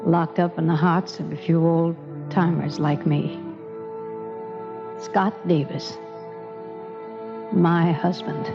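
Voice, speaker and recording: A spirited woman of the old West with a low-pitched voice. It is 1940s radio drama acting, on a dull vintage recording with music behind it. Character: spirited frontier woman Dixie, later an old-timer widow remembering.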